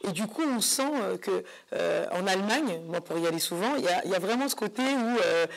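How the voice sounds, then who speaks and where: A woman's voice: medium pitch, lively intonation, a little fast, vocal fry, poor audio. Hesitant woman hosting a talk show. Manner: hesitant, searching for words, repeating phrases. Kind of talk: conversational interviewing.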